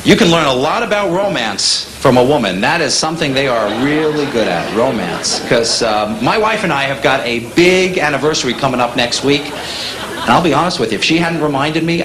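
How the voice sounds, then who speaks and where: A man with a New York accent doing a stand-up comedy set, delivering punchy deadpan jokes with quick asides to the crowd. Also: mid-range voice, noisy background. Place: comedy club stage with a live audience. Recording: echoey live room with loud audience laughter.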